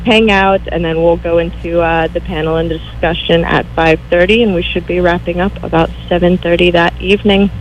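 Brisk upbeat woman on a call. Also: lowish voice, little pitch movement, a little hiss or hum, poor audio. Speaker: event organizer, caller. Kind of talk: phone-in promotion. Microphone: phone line.

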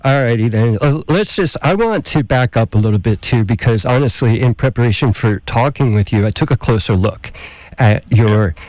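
A man hosting a radio interview, enthusiastic and halting with frequent restarts. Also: mid-range voice, animated, a little mellow, no room sound, rough recording. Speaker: radio show host. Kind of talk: casual interview.

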